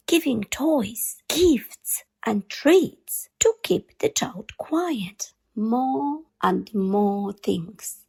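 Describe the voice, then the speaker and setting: A woman reading a children's picture book aloud in an expressive storytime style, with deliberate, dramatic emphasis on key words. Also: on the high side, vocal fry, slightly rough.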